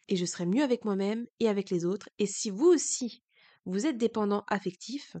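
A woman's voice: medium pitch, a little mellow. Woman, podcast host, stuffy voice from a cold, candid, reflective, close mic. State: voice changed by a cold. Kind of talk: personal confessional monologue.

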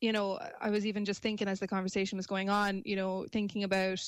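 A woman's voice: medium pitch, level tone, fast talker, smooth, dry recording. A woman speaking as a panel guest, articulate and reflective, often framing her points as questions. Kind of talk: discussion, opinion.

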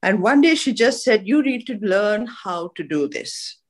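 A woman's voice: medium pitch, expressive, a little slow. A middle-aged or older woman from Sri Lanka, telling her story conversationally as a podcast guest.

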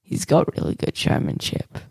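A man co-hosting a podcast, rambling through absurd improvised stories in a deadpan way with lots of fillers. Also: dry recording. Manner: slow, rambling, deadpan nonsense with many fillers. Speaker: podcast co-host.

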